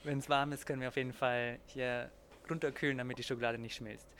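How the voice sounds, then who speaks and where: A man in his twenties, a master confectioner, calmly explaining how his small chocolate workshop works. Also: medium pitch, fairly quick, slight background noise. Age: 20s. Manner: calm, steady explaining with occasional fillers.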